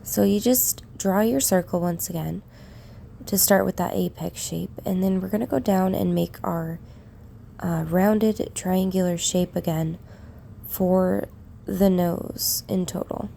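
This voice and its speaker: Croaky woman with a cold, slow drawing tutorial voice-over, low-ish mellow tone. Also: fairly even intonation, a little hiss or hum. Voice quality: gravelly. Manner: unhurried, talks while shading. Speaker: art tutorial narrator.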